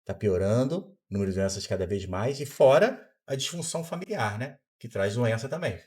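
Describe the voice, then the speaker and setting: A man's voice: mid-range voice, expressive, brisk, darkish tone. A Brazilian doctor in his fifties, a podcast guest who explains things in a teaching way and answers his own rhetorical questions. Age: 50s. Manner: didactic, asks himself rhetorical questions and answers them. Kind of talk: explanatory interview talk.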